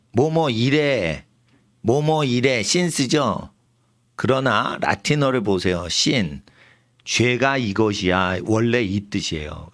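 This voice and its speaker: Animated preaching man, lively swooping pitch. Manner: mixes English words into his talk. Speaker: pastor. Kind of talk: sermon. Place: church sanctuary.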